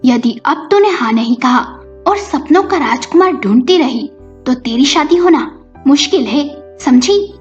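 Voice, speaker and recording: A woman's voice: high-pitched, expressive, measured pace. A woman voicing an exasperated, blunt older mother scolding in a story. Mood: exasperated, warning. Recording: dull lo-fi audio with music.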